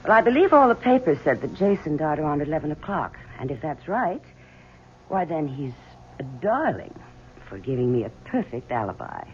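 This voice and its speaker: Sardonic cool-headed mistress. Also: female voice, deep voice, very animated, moderate pace. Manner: sardonic, evasive. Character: cool sardonic mistress of a murdered rich man. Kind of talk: 1970s radio drama acting. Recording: dull old radio recording with faint hiss.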